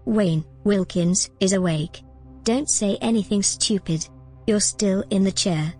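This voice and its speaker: An actress playing a protective, secretive AI companion in a science-fiction audio drama, earnest and cautioning. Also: female voice, mid-range voice, a little slow, a little mellow, husky, faint music behind. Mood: urgent, discreet. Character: protective, secretive female artificial intelligence companion.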